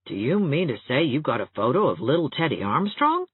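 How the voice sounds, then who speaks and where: A woman's voice: low-pitched, sing-song, fairly quick, breathy edge, no echo, narrow, tinny audio, full character voice. A woman voicing a plain-spoken, astonished country man in a storybook reading.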